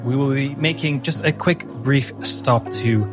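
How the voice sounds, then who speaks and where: A man's voice: mid-range voice, measured pace, over music, voice pushed up, lightly acted. A man playing a polite, formal uniformed royal guard in a fantasy tabletop game, courteous on the surface. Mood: apologetic, courteous.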